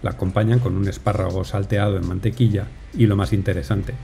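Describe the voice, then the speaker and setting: Mellow-voiced male voice-over narrator. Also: low-pitched, fairly even intonation, vocal fry. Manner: measured, unhurried, descriptive. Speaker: food vlogger narrator. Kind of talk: calm scripted voice-over narration. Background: soft music.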